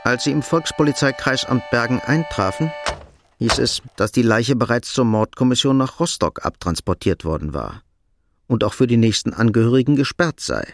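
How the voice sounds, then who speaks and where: A male narrator of a radio crime drama, read by an actor. His mid-pitched voice moves with lively intonation, yet stays measured and matter-of-fact, in a close dry sound. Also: no room sound, faint music behind, lightly acted.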